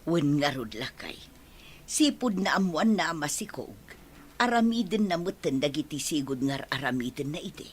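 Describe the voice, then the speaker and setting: A woman playing a caring, excitable elderly mother in an Ilocano radio drama, with an Ilocano accent and motherly, exclamatory delivery. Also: low voice, normal speed, slightly rough, exaggerated voice. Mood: reassuring.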